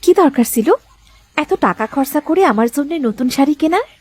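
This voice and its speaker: A woman's voice: high voice, expressive, brisk, a little mellow. A woman voicing a thrifty, doubting village wife in a cartoon folk tale, fretful and questioning. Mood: reproachful, thrifty. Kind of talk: cartoon moral-tale dialogue.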